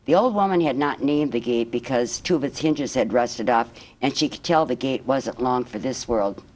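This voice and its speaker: A woman reading a children's picture book aloud as a guest storyteller, in measured, expressive phrases. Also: rumbling low, low-quality recording.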